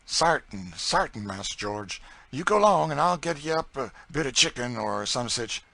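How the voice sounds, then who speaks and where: A man's voice: medium pitch, lively intonation, moderate pace, gravelly, pushed and bright, no echo, voice pushed up. A man voicing an eager, motherly enslaved woman cook in an audiobook, with a folksy dialect and exclamatory delivery. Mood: excited. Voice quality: folksy dialect speech with dropped sounds. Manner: exclamatory, emphatic. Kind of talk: audiobook character dialogue.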